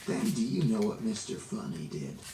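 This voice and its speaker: A man reading a children's story aloud in an expressive, dramatic way, drawing out words for emphasis. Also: on the low side, creaky. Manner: animated, dramatic, drawn-out emphasis. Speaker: storybook reader. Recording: roomy, distant, noisy amateur recording.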